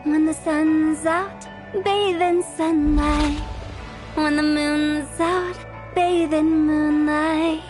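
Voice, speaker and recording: Brief: a mischievous young business owner; high, playful and slow, light game music. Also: female voice, fairly even intonation, darkish tone. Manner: playful, teasing, sing-song. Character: playful mischievous young woman, teasing employer. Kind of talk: video game voice acting.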